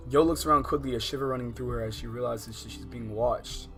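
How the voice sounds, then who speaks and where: A man's voice: medium pitch, steady tone, a little slow, a bit bright. An American man hosting a game livestream, switching between slangy, profane, exasperated chat and reading visual novel text aloud. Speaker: livestream host. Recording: music behind the voice.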